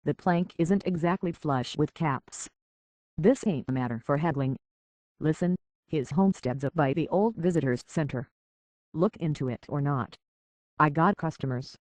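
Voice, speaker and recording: A man's voice: a little high, no room sound, muffled recording, close to an everyday voice. An actor playing a plain-spoken, folksy island barkeep in a video game, blunt and concerned for his own people. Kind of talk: video game character dialogue.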